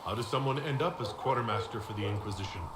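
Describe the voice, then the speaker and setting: A man playing a calm, polite, inquisitive adventurer in a video game, asking things in a measured, courteous way. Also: fairly low pitch, lightly acted. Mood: calm, curious. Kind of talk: video game dialogue acting. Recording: roomy game audio, very noisy background.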